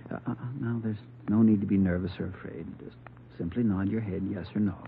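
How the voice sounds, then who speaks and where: A man playing a gentle, protective hospital doctor in an old radio drama, courteous and careful. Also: fairly low pitch, fairly even intonation, quick pace, creaky, a little hiss or hum. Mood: soothing. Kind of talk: 1950s radio drama acting. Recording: muffled old radio recording, faint music.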